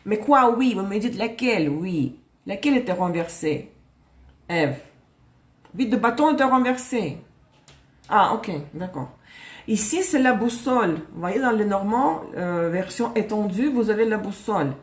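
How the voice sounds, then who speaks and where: A woman's voice: medium pitch, expressive, a little slow. Woman reading tarot live, chatty, laughs a lot, echoey room. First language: Russian. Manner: chatty, self-correcting, laughs often. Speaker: tarot reader.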